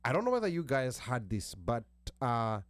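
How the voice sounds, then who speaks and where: A man's voice: mid-range voice, very animated, dry recording. Hesitant man with an American accent. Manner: halting, many restarts, reads from notes. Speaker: host. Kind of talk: casual panel talk show.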